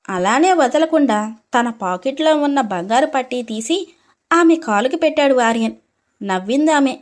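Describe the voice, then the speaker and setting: A woman's voice: high-pitched, animated, normal speed. A woman storyteller reading a scripted story narration.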